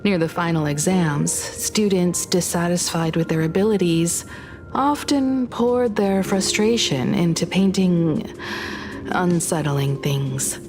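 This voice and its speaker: A composed young female investigator in a video game. She speaks in a slightly low register, methodical and serious. Music plays clearly behind her. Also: slow.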